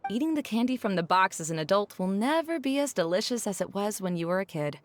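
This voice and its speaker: A woman voicing a calm, thoughtful, philosophical adult female guide in a video game. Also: medium pitch, fast, slight background noise, lightly acted. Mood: reflective. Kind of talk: video game character acting.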